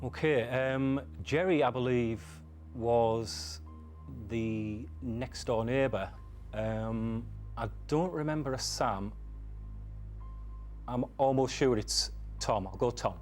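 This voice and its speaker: A man in his forties working slowly through his quiz answers, hesitating and thinking aloud as he goes. His voice sits at a middle pitch, with faint music in the background. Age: 40s. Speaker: quiz contestant.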